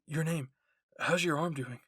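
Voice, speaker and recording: A man's voice: a little high, a little slow, fairly bright tone, slightly rough, no room sound, clean studio sound. A man voicing an intense, blunt and protective teenage boy soldier in an anime fan fiction roleplay. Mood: earnest.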